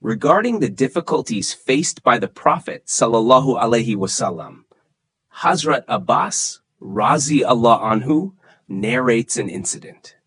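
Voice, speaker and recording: A man's voice: medium pitch, expressive, normal speed, fairly bright tone, breathy edge, no room sound. A man narrating a scripted religious story for children in a dramatic storytelling manner.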